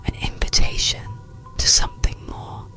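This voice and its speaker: A narrator whispering a bedtime story and guided relaxation in a slow, gentle, soothing ASMR style. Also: soft background music, low-quality recording.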